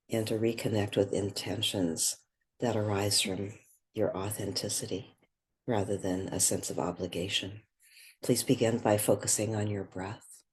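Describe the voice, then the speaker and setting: A woman guiding a meditation, speaking gently in short, measured phrases with long pauses between them. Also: very deep voice, husky. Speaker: guided meditation leader. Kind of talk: guided meditation.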